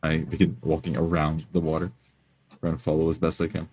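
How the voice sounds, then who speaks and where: A man playing a tabletop roleplay game, improvising his character's actions in the first person with halting, repeated words. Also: very deep voice, completely flat delivery, mellow, breathy edge.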